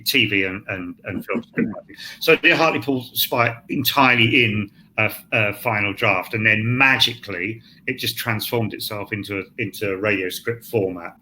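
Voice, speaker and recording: Animated, forceful-voiced male scriptwriter. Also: on the low side, moderate pace, few pauses, a little hiss or hum, poor audio. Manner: animated, swears, acts out his own thoughts. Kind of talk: candid self-deprecating panel chat. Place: online panel, home set-up. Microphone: video call.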